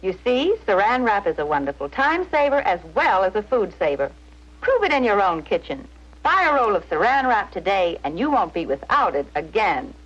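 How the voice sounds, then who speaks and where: A woman's voice: medium pitch, big pitch swings, moderate pace. A woman announcing a vintage radio advertisement in a scripted selling style. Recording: muffled old radio commercial.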